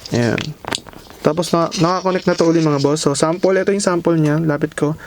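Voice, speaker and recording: A man's voice: fairly high pitch, steady tone, a little fast, a little mellow. A man casually unboxing and reviewing a budget helmet intercom, talking straight to his viewers and calling them bosses. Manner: chatty, addresses viewers as bosses. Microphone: camera mic, sometimes through intercom earpiece.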